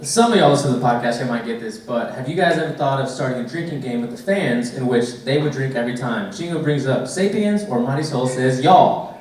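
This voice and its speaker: A man in a live podcast on a club stage, calmly reading fan questions to move the show along. His voice sits at a middle pitch. He sounds distant in a very echoey room. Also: a little fast. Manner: steady, moves the show along.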